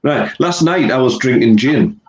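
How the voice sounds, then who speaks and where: A chatty man with a head cold, joking at his own expense and laughing often. He speaks at a middle pitch, and his voice comes through a dull, lo-fi video call line. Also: animated, moderate pace, darkish tone. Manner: chatty, laughs easily, self-mocking. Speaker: podcast co-host. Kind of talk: casual chat over video call.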